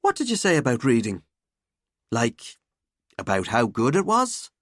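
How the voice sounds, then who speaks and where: A woman's voice: extremely low pitch, wildly swooping pitch, a little slow, dry recording, studio quality, full character voice. A woman voicing a cheeky teenage schoolgirl in an audiobook, teasing and mock-dramatic. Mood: gleeful teasing.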